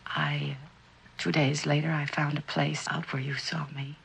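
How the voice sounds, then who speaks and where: Husky, slow-spoken haunted widow. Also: female voice, deep voice, little pitch movement, full character voice. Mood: haunted, halting. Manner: halting, formal, grave. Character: haunted, grieving widowed mother, genteel and fearful. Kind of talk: mid-century American television suspense drama acting.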